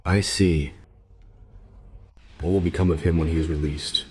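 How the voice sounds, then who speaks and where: An actor playing a restrained male police inspector in a radio drama, speaking formally and with measured delivery. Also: very deep voice, steady tone, a little mellow, a little hiss or hum. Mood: apologetic.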